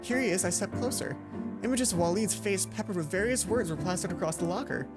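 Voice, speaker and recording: A woman's voice: medium pitch, a little fast, loud music behind the voice. A woman hosting a let's play, reading a visual novel aloud with casual commentary and acting out the dialogue. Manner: animated, acts out dialogue.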